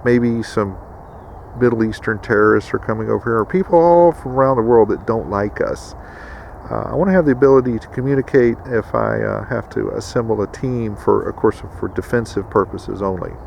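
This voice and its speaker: A man hosting a casual instructional vlog with a gravelly voice, addressing viewers in a folksy way. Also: lowish voice, a little fast, a little mellow, a little hiss or hum. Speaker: video host.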